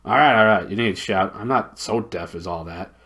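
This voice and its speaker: A man voicing a deaf, irritable old male dwarf lord who talks gruffly and loudly. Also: on the low side, moderate pace. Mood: cranky.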